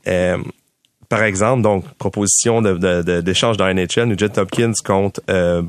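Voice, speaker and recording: A man, a sports journalist who lays out hockey trade ideas in a measured way, thinking aloud with fillers. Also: low-pitched, steady tone, clean tone, no echo, clean studio sound. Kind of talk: casual hockey analysis.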